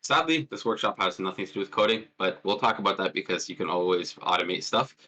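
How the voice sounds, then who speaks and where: A man's voice: sits low, quick pace, sharp tone. A man in his twenties presenting an informal online workshop in a casual, self-deprecating and hesitant way over a call. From born in Jordan, lived in Palestine as a child. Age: 20s. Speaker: workshop presenter, student and threat hunter. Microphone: online call with push-to-talk.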